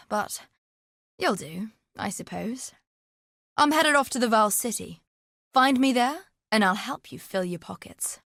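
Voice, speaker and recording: An actress playing a shrewd, greedy treasure hunter in a video game, telling tales theatrically with dry, sardonic asides. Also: female voice, mid-range voice, no room sound. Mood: sly, wry, then eager, wry. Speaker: video game character voice actor. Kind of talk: scripted game character dialogue.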